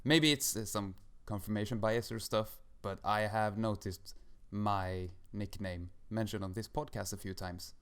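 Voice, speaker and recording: A man's voice: on the low side, fairly even intonation, unhurried, few pauses, fairly bright tone, clear, resonant tone. A man in his twenties from Sweden, chatting casually as a podcast guest with dry, self-mocking irony. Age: 20s.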